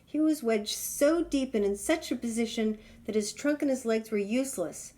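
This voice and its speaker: A woman's voice: on the high side, moderate pace, mellow. A woman reading a children's storybook aloud expressively. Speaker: storybook reader.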